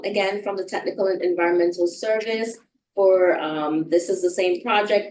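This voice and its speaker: A woman's voice: mid-range voice, darkish tone, a little hiss or hum. A woman with a Jamaican accent presenting contracts at a board meeting, hesitant and full of fillers as she reads out figures. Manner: hesitant, many fillers and restarts while reading out figures. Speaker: staff member presenting contracts. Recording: roomy, far from the mic.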